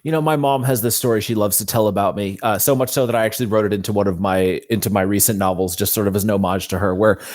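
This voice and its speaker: A man's voice: lowish voice, steady tone, fast, few pauses, a little mellow, no echo. Casual male interview guest, dry sound. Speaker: guest, fantasy author.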